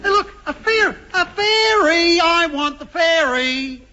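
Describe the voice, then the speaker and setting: Childish stammering aussie man, super high bright voice, old radio comedy. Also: animated, slow pace, full character voice. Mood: childish eagerness. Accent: Australian. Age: 30s. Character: childlike, dim, excitable Australian man in his thirties. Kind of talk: 1950s radio comedy acting. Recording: dull old radio recording.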